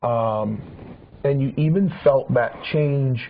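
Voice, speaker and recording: A man's voice: medium pitch, takes it slowly, creaky. A man in his forties reminiscing in a casual talk show chat, rambling with many restarts and repeated words. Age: 40s. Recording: dull lo-fi sound with faint hiss.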